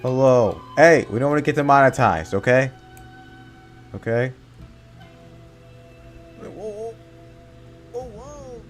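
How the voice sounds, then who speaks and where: A man giving casual, jokey commentary over a game, easily excited. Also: medium pitch, slow pace, long pauses, soft background music. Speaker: gaming content creator.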